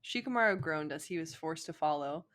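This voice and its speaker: A woman's voice: deep voice, lively intonation, brisk, a bit bright. A young woman reading fan fiction aloud as informal narration, with a playful, giggly manner. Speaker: co-host, fan fiction reader. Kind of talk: informal story narration with banter.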